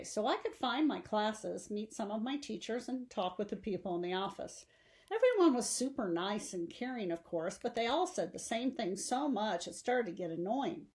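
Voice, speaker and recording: A woman's voice: fairly high pitch, darkish tone. Woman reading a story aloud. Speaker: teacher reading a novel aloud. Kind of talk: expressive audiobook-style storytelling.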